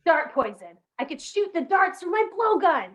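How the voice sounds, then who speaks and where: A woman's voice: very high voice, sing-song, moderate pace, fairly bright tone, exaggerated voice. A woman playing a bratty, defiant, demanding young boy in an old-time radio comedy, insolent and boastful. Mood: pushy, mischievous.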